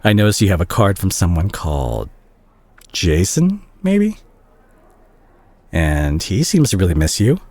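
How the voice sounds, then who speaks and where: A man acting as a remorseful young doctor talking intimately to his ex in an audio roleplay. His voice is low and husky, with lively swings in pitch. He speaks gently and haltingly, full of tenderness. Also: dry recording, full character voice. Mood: awkward, probing. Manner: hesitant, soft-spoken, halting. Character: remorseful, tender male doctor, listener's ex-boyfriend.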